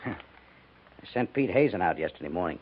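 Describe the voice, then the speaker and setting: An actor playing a firm, defensive army major with an American accent and a clipped, authoritative delivery in a 1950s radio western. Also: male voice, low-pitched, big pitch swings, moderate pace, a little hiss or hum. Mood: concerned. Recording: narrow, tinny 1950s radio recording.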